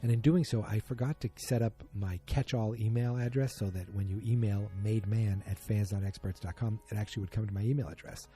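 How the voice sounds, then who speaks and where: A man's voice: fairly low pitch, steady tone, a little fast, hardly any pauses, warm tone, faint music behind. A man hosting a solo podcast, chatting casually in a rambling, self-deprecating, joking way. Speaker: podcast host.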